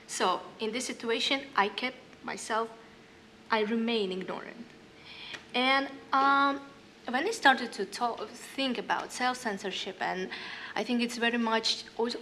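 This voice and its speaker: A young woman musician from northern Kazakhstan, a native Russian speaker, talking on a panel in halting English with many fillers and reading from her diary. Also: mid-range voice, a bit bright, a little hiss or hum. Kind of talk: panel talk with read-aloud diary. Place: hall panel stage.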